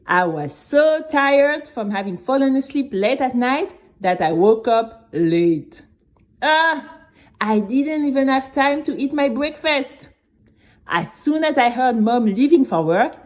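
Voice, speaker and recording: A woman as a chatty young girl, unhurried storyteller. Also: on the high side. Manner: conversational, expressive storytelling with exclamations. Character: Bénie, nervous, chatty young girl singing contestant. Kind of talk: first-person scripted audio drama narration.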